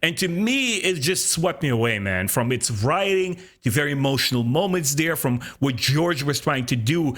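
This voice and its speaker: A man's voice: fairly high pitch, expressive, normal speed, few pauses, a bit bright. A man in his thirties hosting a casual fan podcast, talking with enthusiasm. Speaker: podcast host.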